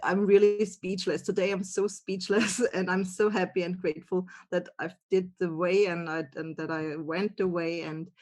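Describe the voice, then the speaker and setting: A woman from Austria, a guest author talking in halting with many restarts and light laughter. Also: fairly low pitch, little pitch movement, darkish tone. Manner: halting non-native English, frequent laughs and restarts. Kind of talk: interview conversation.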